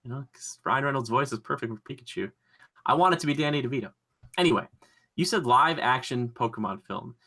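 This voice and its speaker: A man hosting a pop-culture podcast in a casual, rambling, animated way with jokey sarcasm. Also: mid-range voice, brisk. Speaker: podcast host.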